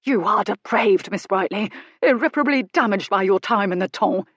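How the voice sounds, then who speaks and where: A woman voicing a pompous, self-righteous Regency gentleman writer who speaks with indignant force. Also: mid-range voice, lively intonation, fairly quick, no room sound, clean studio sound. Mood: outraged.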